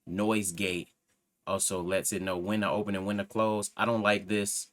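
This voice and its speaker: A man with a very deep, low voice talks casually into a desktop microphone at his home computer setup. He is self-assured and rambles, trailing off and starting over as he goes. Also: flat delivery, moderate pace, a bit bright. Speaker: channel host reviewing microphones. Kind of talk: casual tech review talk. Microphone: desktop USB microphone.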